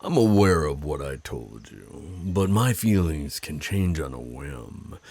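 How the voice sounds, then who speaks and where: An extremely deep, husky werewolf, acted by a man for an ASMR roleplay. He is burly and territorial, drawling his teasing threats slowly and possessively. The sound is close and dry. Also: sing-song, a little mellow, dry recording, exaggerated voice. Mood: threatening. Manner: slow, drawling, taunting and possessive.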